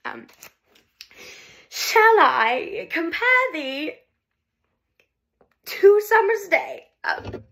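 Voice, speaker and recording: A woman acting out a heartbroken wife refusing a divorce, near tears and swinging between hushed whispers and loud outbursts. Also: very high-pitched, very animated, very slow, gravelly, long pauses, exaggerated voice. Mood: manic, tearful. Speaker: actress performing a monologue. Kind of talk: comic stage monologue.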